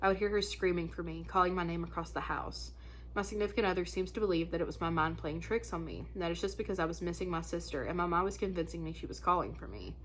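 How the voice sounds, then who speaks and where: Low-pitched woman, casual storyteller, noisy recording. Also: quick pace. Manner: informal, conversational, slangy asides. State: congested, stuffy nose. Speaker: host of a spooky story channel. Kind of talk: casual chat and reading of listener horror stories.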